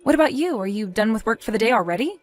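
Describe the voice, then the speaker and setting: A woman's voice: on the high side, animated, very fast, soft background music, close to an everyday voice. A woman acting an evasive wife in a dramatized story, sweet but easily flustered and indignant, with theatrical expression. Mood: friendly. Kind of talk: dramatized text-message story narration.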